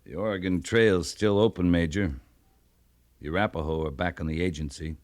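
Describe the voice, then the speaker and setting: Low-voiced laconic cavalry captain, acted by a man. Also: close to an everyday voice. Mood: dry, understated. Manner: measured, understated authority. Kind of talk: old-time radio western acting.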